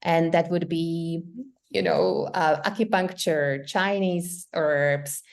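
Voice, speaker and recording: A middle-aged woman with a Czech accent speaks in a slightly low register. She explains things earnestly as a guest doctor in an interview and laughs easily. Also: fairly even intonation, slow, gravelly. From Czech Republic. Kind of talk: interview conversation.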